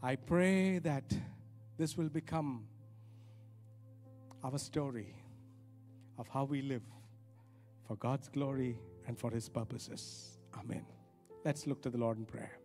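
A man's voice: medium pitch, takes it slowly, long pauses, a little mellow, soft background music. A middle-aged man preaching a sermon emphatically into a handheld mic, repeating key phrases and adding rhetorical questions. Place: large church auditorium stage, indoors. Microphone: handheld microphone.

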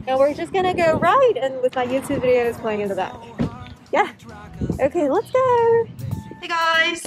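A woman in her thirties chats brightly to a handheld camera at home, her voice high and upbeat with plenty of fillers. Background music plays under her talk throughout. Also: poor audio. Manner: chatty, upbeat, filler-heavy. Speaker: vlogger. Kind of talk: casual vlog chat. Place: home kitchen and outdoors on a bike ride. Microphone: handheld camera at arm's length.

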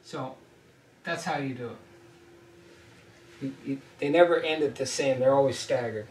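A middle-aged man gives a blunt, emphatic welding lesson, hammering his points home by repeating them. His voice sits at an ordinary pitch. It is caught by a camera mic a few feet away in a small, echoey room. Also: normal speed, darkish tone, far from the mic, a little hiss or hum. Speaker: ship fitting instructor. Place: small indoor room at a whiteboard. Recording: roomy echo, voice off-mic.

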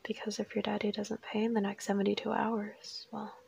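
A woman voicing a crazed, teasing assassin kidnapper in an intimate audio roleplay, whispery and unhurried, with a taunting menace. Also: mid-range voice, monotone, a little mellow, muffled recording, heavily acted. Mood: cold, teasing. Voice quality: breathy, whispery. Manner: taunting, unhurried, coolly threatening.

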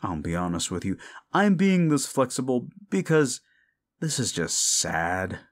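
A man's voice: mid-range voice, very animated. A man voicing a condescending, seductive demon deal-maker in an audio roleplay, speaking in a theatrical, mocking drawl. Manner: slow, condescending, theatrical drawl with amused chuckles. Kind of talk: scripted audio roleplay addressed to the listener.

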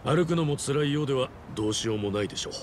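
A man's voice: medium pitch, animated, lightly acted. Courteous, unruffled game fixer, male actor, mid-range voice, dry understatement, faint background noise. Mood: cool, wry. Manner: polite, measured, wry. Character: polished, composed male fixer, dryly courteous.

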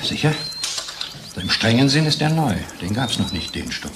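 An actor playing a measured, hedging research pharmacologist in a radio drama, dry and explanatory. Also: male voice, mid-range voice, very animated, background noise, natural voice. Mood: matter-of-fact. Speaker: pharmacologist character. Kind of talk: German radio drama acting.

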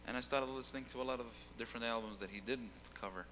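A bright, clear male voice with a long hall reverb around it. He is a young singer telling his story on stage into a handheld mic. He talks loosely and repeats words as he finds them. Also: mid-range voice, rapid-fire, slight background noise. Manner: rambling, repeats words while searching. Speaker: guest singer. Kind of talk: casual interview on stage. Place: dark stage with keyboard.

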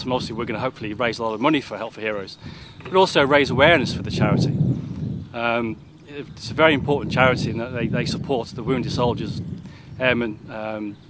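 A man's voice: mid-range voice, background noise. A man in his forties speaking earnestly about a charity in an outdoor interview. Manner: earnest, fillers. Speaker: charity cyclist. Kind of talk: on-camera interview. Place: outdoors at an airbase. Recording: loud outdoor ambience.

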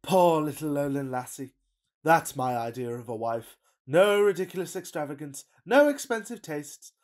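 An impulsive, fickle Victorian gentleman, played by a man in a radio farce. He gushes in florid, pompous phrases with melodramatic feeling. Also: a little high, animated, moderate pace, natural voice. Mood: smitten musing. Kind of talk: farcical Victorian radio play acting.

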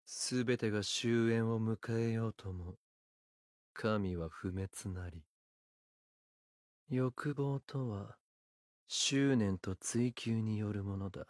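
An actor playing an arrogant, seductive serpent god in a game, speaking in a haughty, deliberate, condescending way. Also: male voice, on the low side, darkish tone, dry recording, clean studio sound. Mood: lofty, imperious. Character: arrogant, seductive serpent god who toys with human desires. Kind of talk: Japanese game character voice lines.

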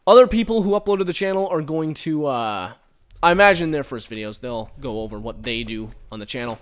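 A man giving casual, rambling commentary while playing a game, recorded on a headset and reacting loudly to the action. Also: mid-range voice, expressive, brisk. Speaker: gaming commentator.

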